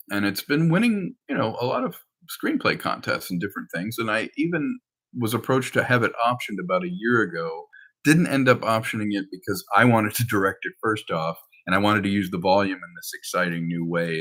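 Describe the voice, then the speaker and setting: Guy with a low but bright punchy voice, just chatting, rambly, tells stories like a film director giving an update. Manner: rambling, anecdotal, acts out quoted dialogue. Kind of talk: casual conversational update about projects.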